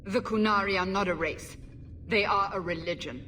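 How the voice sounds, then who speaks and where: A woman's voice: medium pitch, moderate pace, pushed and bright, slight background noise, close to an everyday voice. A woman playing a stern, commanding female investigator in a fantasy video game, authoritative and clipped. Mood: stern, puzzled. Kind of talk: video game cutscene acting. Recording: distant voice with background ambience.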